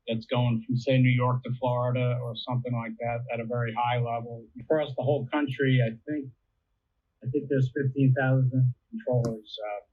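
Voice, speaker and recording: Over a narrow, phone-like connection, a man talks as an interview guest. He explains things casually and conversationally, with an average-pitched voice. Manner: conversational, explains with everyday analogies. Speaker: interviewee explaining air traffic control. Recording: tinny narrowband phone-like line.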